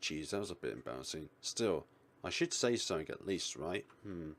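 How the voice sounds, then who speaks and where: Kazuki, a man playing a lively, awkward young guy. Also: low-pitched, a little fast, slight background noise, pitched below the performer's own voice. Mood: embarrassed.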